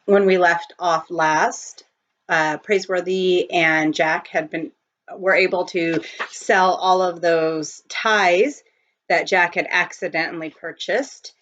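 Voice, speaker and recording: A woman reading a children's novel aloud in an expressive storytelling style. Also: fairly low pitch, takes it slowly. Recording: dull lo-fi home recording.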